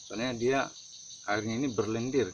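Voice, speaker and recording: A man presenting an informal demonstration vlog, explaining in a halting way. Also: mid-range voice, fairly even intonation, highs cut off. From North Buton, Southeast Sulawesi, Indonesia. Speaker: herbal remedy video presenter. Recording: noisy outdoor audio with insects and birds.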